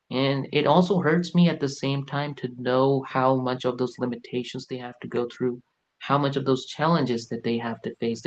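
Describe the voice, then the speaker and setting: A man in his late twenties talks reflectively, earnest and searching, circling back on his words. His voice sits at an ordinary middle pitch. The recording sounds a little dull and lo-fi. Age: late 20s. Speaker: guest. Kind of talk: reflective personal talk.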